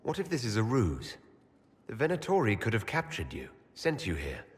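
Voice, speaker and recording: A low-voiced elven leader, echoing hall. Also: male voice, wildly swooping pitch, normal speed. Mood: wary. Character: earnest, questioning male elven leader. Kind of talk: dramatic video game dialogue. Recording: long hall reverb.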